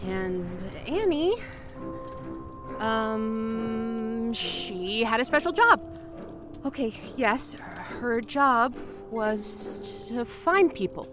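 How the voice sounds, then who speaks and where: A frightened investigator in a horror audio drama, telling a story in a halting, anxious way. Her voice sits fairly high and swings up and down at an unhurried pace, with music playing clearly behind it. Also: animated. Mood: nervous, halting. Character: terrified American woman investigator stammering out a story.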